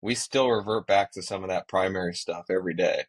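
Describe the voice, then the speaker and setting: A man's voice: deep voice, fairly quick. A man co-hosting a podcast as a working pilot, talking casually in an earnest, explanatory way.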